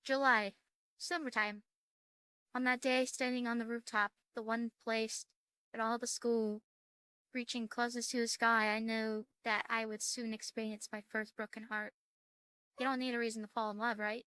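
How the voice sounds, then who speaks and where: Bright, high-voiced woman hosting a let's-play. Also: little pitch movement, moderate pace, clear, resonant tone, dry recording. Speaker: gaming video host. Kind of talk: casual let's-play chat, reading game text aloud.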